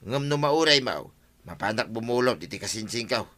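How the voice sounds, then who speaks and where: A man's voice: mid-range voice, lively intonation, moderate pace, bright, forceful tone. An actor with an Ilocano accent playing a chummy village sidekick who soothes and advises his friend in a radio comedy drama. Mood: helpful. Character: village buddy, soothing sidekick of a troubled captain.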